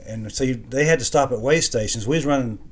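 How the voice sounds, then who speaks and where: A man's voice: mid-range voice, quick pace, breathy edge. A middle-aged American man with a Southern accent shares memories in a casual conversation. He sounds plainspoken and folksy, with a mid-range voice. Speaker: co-host sharing memories. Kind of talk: casual reminiscing chat.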